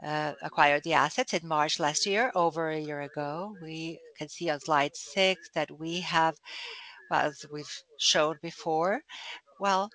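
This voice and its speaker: A man presenting quarterly results on an earnings call, speaking formally with frequent fillers and halting restarts. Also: fairly high pitch, sharp tone, rough recording. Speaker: company executive on earnings call.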